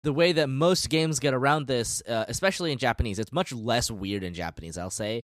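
A man's voice: mid-range voice, a little fast, no room sound, clean studio sound. A man co-hosting a podcast in casual chat, explaining things in a rambling, hesitant way. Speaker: podcast co-host.

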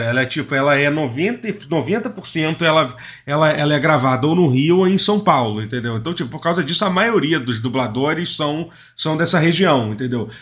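A man in casual podcast chat who explains points quickly, full of fillers. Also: fairly high pitch, sharp tone. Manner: quick, fillers like "tipo" and "entendeu".

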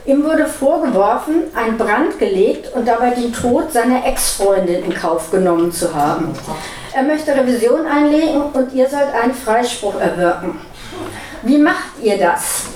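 A woman's voice: fairly high pitch, animated, moderate pace, a little mellow, slight background noise. A woman explaining game rules step by step to a group as its leader. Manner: step-by-step, instructing. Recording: echoey room, distant microphone.

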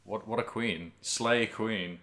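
Low-pitched man chatting casually. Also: takes it slowly, sharp tone. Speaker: podcast co-host. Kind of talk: casual chat about video games.

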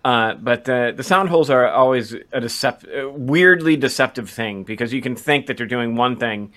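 Male podcast co-host, medium pitch, casual and conversational, halting delivery with frequent restarts. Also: a bit bright.

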